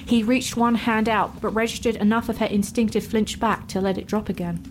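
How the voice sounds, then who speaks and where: A woman's voice: a little high, fairly even intonation, brisk, slight background noise, rough recording. A woman narrator reading a scripted fantasy story.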